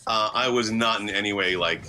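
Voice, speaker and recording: Low, bright-voiced middle-aged man. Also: a little fast, faint music behind. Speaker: guest voice actor. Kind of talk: reflective interview talk.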